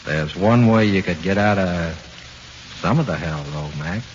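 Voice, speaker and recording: An actor playing the ghost of an executed gangster in 1940s radio horror, talking tough, streetwise slang with cool menace. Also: male voice, sits low, unhurried. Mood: ominous, coaxing. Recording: muffled hissy 1940s radio recording.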